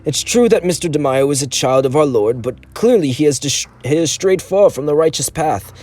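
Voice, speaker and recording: A man voicing an elderly, gentle male defense lawyer who speaks in a pious, pleading way. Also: a little high, animated, normal speed, voice pushed up, lightly acted. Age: older.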